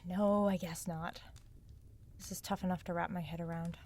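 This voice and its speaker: An actress playing a sharp, sarcastic young woman who probes for answers in a naturalistic audio drama. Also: on the low side, steady tone, moderate pace, slight background noise, natural voice. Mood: wry, weary. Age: young adult. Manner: wry, probing, sardonic.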